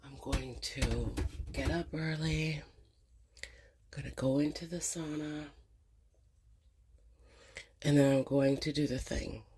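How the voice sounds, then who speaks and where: A middle-aged woman with a cold and a congested voice, hosting a casual reflective monologue, talking slowly with many hesitations. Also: low voice, fairly even intonation, mellow, a little hiss or hum. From Canada. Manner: slow, hesitant, many ums and pauses. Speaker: channel host.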